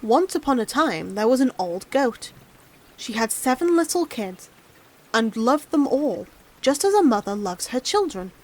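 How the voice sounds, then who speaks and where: A woman narrating a fairy tale in an expressive storybook style. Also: a little high, measured pace. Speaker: audiobook narrator and streamer.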